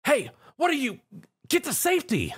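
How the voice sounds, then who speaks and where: A man's voice: very high-pitched, wildly swooping pitch, normal speed, pitched above the performer's own voice, full character voice. A man voicing an earnest armored hero, urgent and quippy, in a story. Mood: alarmed.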